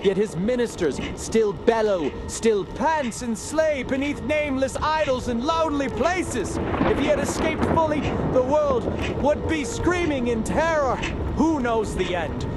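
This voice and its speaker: Haunted scholar over noisy ambience. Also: male voice, very high voice, heavily acted. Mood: rising dread. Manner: grave, literary, theatrical. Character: haunted scholarly male narrator, dread-ridden, doomed witness of cosmic horror. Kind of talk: dramatic audio drama monologue.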